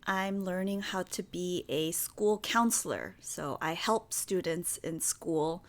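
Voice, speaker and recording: A young woman in her twenties from the United States, a guest teacher answering interview questions in a slow, gentle, clearly spoken way. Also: fairly low pitch, lively intonation. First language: Korean.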